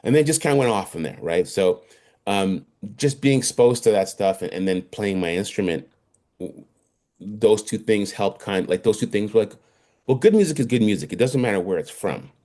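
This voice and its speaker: Deep-voiced man in his fifties from Trinidad. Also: expressive, dark tone. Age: 50s. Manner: halting, many restarts and fillers. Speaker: guest musician. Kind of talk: casual interview chat. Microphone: video call.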